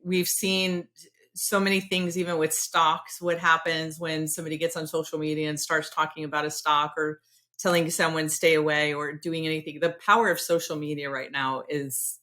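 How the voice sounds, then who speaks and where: A woman hosting a podcast interview, talking in an excitable, rambling, self-deprecating way. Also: low-pitched, normal speed. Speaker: podcast host. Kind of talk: casual interview chat.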